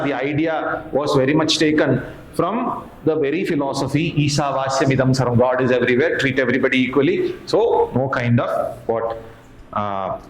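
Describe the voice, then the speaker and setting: An Indian-accented man lecturing as an exam coaching teacher, emphatic, repeating phrases and asking questions that he answers himself. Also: mid-range voice. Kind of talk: explanatory lecture to camera. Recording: echoey room with background noise.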